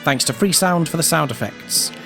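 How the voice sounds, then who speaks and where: A man's voice: fairly high pitch, animated, moderate pace, vocal fry. A man announcing the credits of an audio drama in a formal, orderly scripted read. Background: music.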